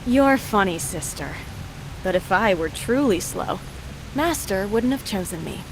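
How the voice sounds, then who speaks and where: Plucky, direct young heroine. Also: female voice, medium pitch, animated, measured pace, noisy background. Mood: cheeky. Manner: direct, spirited. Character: plucky, confident, caring young female martial disciple. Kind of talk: video game cutscene acting.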